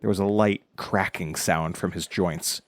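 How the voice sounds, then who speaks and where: An actor playing a grim narrator who tells his own horror story in a measured, scripted storytelling manner. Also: deep voice, fairly even intonation, a little hiss or hum. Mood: measured, eerie.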